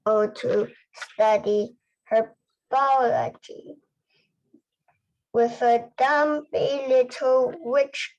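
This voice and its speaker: A female student reading a novel aloud in a halting, careful way, word by word. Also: fairly high pitch, rough recording. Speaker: student reader.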